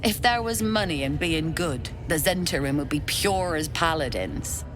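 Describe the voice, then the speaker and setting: A woman's voice: low voice, lively intonation, normal speed, over music. A woman playing a shrewd, cheery, mercenary merchant in a fantasy video game. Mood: wry, mercenary.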